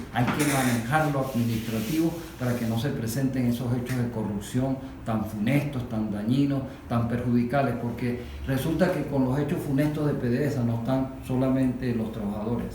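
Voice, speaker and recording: Venezuelan man in a very echoey room. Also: medium pitch. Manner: halting, explanatory, repeats phrases for emphasis. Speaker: industrial worker giving testimony. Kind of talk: unscripted panel discussion. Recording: very echoey room, distant mic, faint noise.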